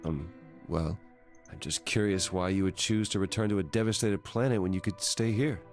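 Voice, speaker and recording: A low-pitched, soft-spoken man, just woken and unsure who he is. He speaks in measured, probing questions over faint music. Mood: calm, inquiring. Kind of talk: video game voice acting. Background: game music.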